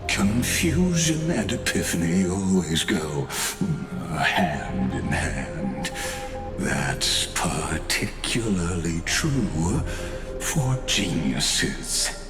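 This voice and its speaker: Game villain: ancient machine-bound mastermind, low-voiced, pompous and coldly philosophical, echo on the voice, music underneath. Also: male voice, lively intonation, heavily acted. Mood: smug, condescending. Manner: slow, deliberate, pompous speech in metaphors. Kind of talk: dramatic video game villain monologue. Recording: echoing voice over game music.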